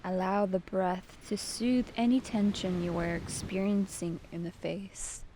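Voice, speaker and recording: A woman leading a guided meditation with gentle, soothing, unhurried instruction. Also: mid-range voice, fairly even intonation, slight background noise, low-quality recording.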